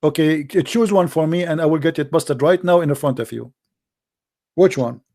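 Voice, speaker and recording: A man's voice: on the high side, moderate pace, a little mellow. Insistent man. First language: Arabic. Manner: insistent, lecturing, repeats "my friend". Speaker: debate host. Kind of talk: heated call-in religious debate.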